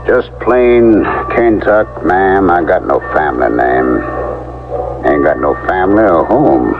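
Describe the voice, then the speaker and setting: A man's voice: low voice, expressive, slow, over music. An actor playing a cold, world-weary drifter gunslinger in his thirties with an American Western accent, laconic and fatalistic, in a 1970s radio drama. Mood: courteous, laconic. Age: 30s. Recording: tinny, very noisy old radio broadcast.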